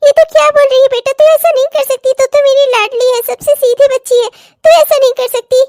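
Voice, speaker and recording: Woman playing a very shrill, scolding mother-in-law. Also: steady tone, fast talker, darkish tone, dry recording, studio quality. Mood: alarmed, protective. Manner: scolding, melodramatic. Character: harsh, superstitious mother-in-law, doting on her own daughter. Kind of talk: melodramatic Hindi family story acting.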